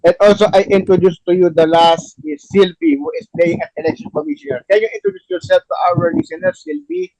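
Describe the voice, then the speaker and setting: A man hosting and narrating a play table read, with halting, repetitive phrasing. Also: high-pitched, lively intonation, creaky, darkish tone, rough recording. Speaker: show host and stage-direction reader.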